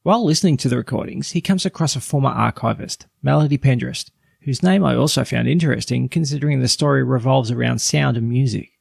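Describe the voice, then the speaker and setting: A man's voice: medium pitch, fast, gravelly, breathy edge, dry recording, studio quality. A man narrating a scripted review as a podcast host.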